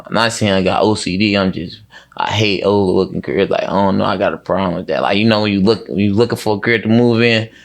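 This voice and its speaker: Low-voiced man rambling in casual slang. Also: steady tone, fast talker, gravelly. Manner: rambling, repetitive, emphatic with frequent swearing. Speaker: interview guest, rapper. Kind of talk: casual, slangy interview chat.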